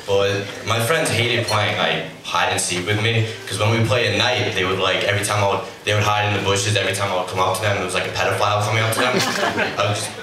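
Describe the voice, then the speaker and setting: A young man with a very low voice, doing a rambling, crude, self-mocking stand-up comedy set in a comedy club. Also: fairly even intonation, quick pace. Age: young adult. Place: comedy club stage with audience. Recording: echoey, distant, noisy club recording. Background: audience laughter.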